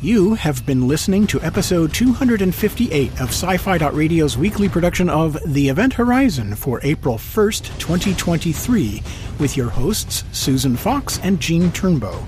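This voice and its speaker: A man's voice: fairly high pitch, very animated, normal speed, a little mellow, a little hiss or hum. A man hosting a radio show, interviewing and reading announcements.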